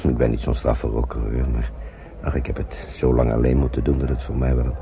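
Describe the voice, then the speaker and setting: A man's voice: very deep voice, level tone, brisk, creaky. An actor playing a caring older man looking after refugee children in an old radio play, talking hesitantly and repeating himself soothingly. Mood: tender, hesitant. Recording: muffled, noisy old radio play recording.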